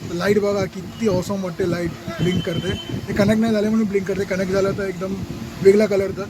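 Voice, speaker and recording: High-pitched Maharashtrian man, very noisy recording. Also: flat delivery, quick pace. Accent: Maharashtrian Indian. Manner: informal, mixes in English words. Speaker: vlogger. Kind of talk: casual product unboxing vlog. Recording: very noisy, outdoor traffic-like background noise.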